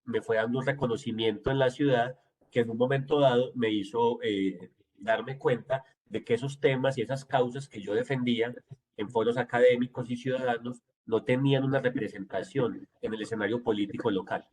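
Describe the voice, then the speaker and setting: A man's voice: mid-range voice, fairly even intonation, normal speed, a little mellow, slight background noise. A man in his forties with a Medellín Colombian Spanish accent, fluently and confidently explaining himself as a city councilman in an interview. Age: 40s. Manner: fluent, self-assured, explanatory. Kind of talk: interview answers.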